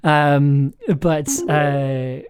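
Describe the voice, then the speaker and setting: A man's voice: a little high, little pitch movement, extremely slow, background noise. A man hosting a casual livestream chat, talking in an effusive, rambling, enthusiastic way. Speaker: host, audio drama writer and producer. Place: remote online call on a livestream.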